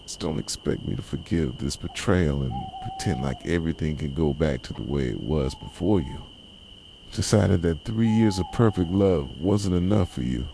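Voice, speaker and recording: Man playing a very deep, soft-spoken possessive boyfriend. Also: animated, heavily acted. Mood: cold, resentful. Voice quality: soft, low voice. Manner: intense, deliberate, reproachful dramatic delivery. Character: possessive, jilted yandere boyfriend, bitter and obsessive. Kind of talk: ASMR roleplay monologue. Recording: clearly noisy, night insects and owl hoots.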